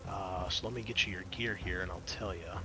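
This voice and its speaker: A man's voice: low-pitched, fairly even intonation, a little fast, over music. A man acting as game master, narrating a tabletop roleplaying game in a casual, explanatory, conversational way. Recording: clear background noise.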